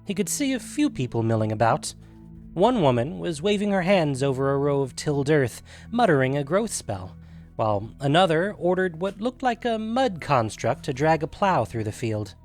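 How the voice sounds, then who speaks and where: A man's voice: on the high side, big pitch swings, normal speed. A man reading scripted fantasy fiction as the narrator of a story podcast. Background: faint music.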